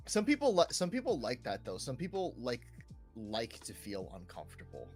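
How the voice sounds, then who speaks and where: A man's voice: medium pitch, wildly swooping pitch, normal speed. A man co-hosting a gaming podcast, chatting casually and loosely, often tagging phrases with checking words and fillers. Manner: informal, peppers talk with "like", "right", "you know". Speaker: podcast co-host discussing video games. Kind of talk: casual rambling chat. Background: background music.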